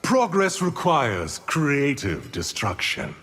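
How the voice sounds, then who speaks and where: Sorcerer villain for a fighting game: mid-pitched, lively inflection, arrogant and controlling, music behind. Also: male voice, slow pace. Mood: haughty. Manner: haughty, commanding. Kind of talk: fighting game character dialogue.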